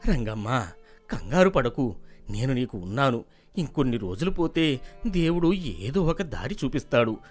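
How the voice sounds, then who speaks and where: A man's voice: medium pitch, very animated, moderate pace, soft background music. A man voicing a poor old villager, humble yet proud, subdued and pleading, in a moral story. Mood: subdued, reassuring. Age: older.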